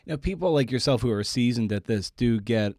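A man hosting a podcast interview, speaking conversationally and haltingly as he rephrases and builds toward his point. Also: mid-range voice, animated, a little mellow, no echo, clean studio sound.

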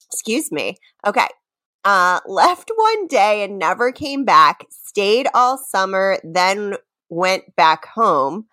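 A woman's voice: mid-range voice, sing-song, slow pace, pushed and bright. A woman co-hosting a comedy podcast, mixing playful dramatic readings with casual banter and often breaking into giggles. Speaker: podcast co-host.

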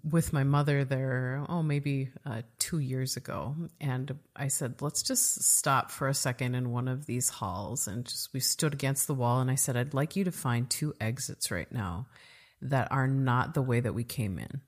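A woman hosts a podcast in a relaxed, conversational way. She wanders through her points with ums and restarts, and her voice sits unusually low for a woman. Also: measured pace. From United States. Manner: rambling, frequent fillers and restarts. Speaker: podcast host. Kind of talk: casual interview chat.

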